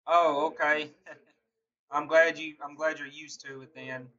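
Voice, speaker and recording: A man with a Southern drawl chats loosely with his stream viewers, reading their names and answering each comment. His voice is bright and a bit raised in pitch. The audio is dull and lo-fi. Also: flat delivery, slow pace. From Mississippi. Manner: laughs often, answers chat by name. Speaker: live streamer.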